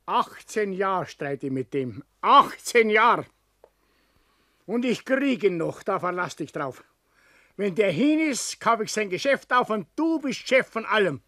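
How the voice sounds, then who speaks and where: A high, sharp-edged old Bavarian father, close and dry as in a sixties radio play. He runs the family firm and will not budge, blustering and grumbling in a domineering way. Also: sing-song, a little slow, no echo, exaggerated voice. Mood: stubborn, scheming. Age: older. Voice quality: short of breath, coughing at times. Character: stubborn, ailing old father, domineering building firm boss. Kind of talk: 1960s German radio drama acting.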